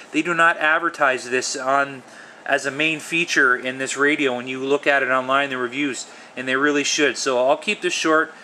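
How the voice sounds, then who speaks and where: A man's voice: mid-range voice, bright, forceful tone, a little hiss or hum. A man casually showing off a product hands-on, talking informally and with enthusiasm. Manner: informal, enthusiastic, mild swearing. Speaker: gadget reviewer.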